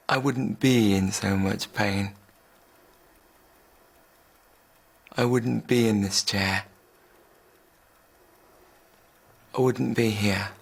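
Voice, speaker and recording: An actor playing a bitter, despairing father, grave and deliberate, in a serious drama. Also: lowish voice, creaky, husky, full character voice. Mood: pained, bitter.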